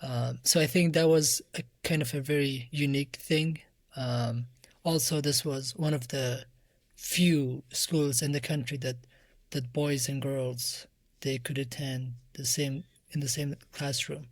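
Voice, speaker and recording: A man with an Afghan accent speaks softly at a medium pitch. He answers as an interview guest in a reflective style. The sound is dry, with hardly any room in it. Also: steady tone, unhurried, no echo. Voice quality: soft voice. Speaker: interview guest, musician and music teacher.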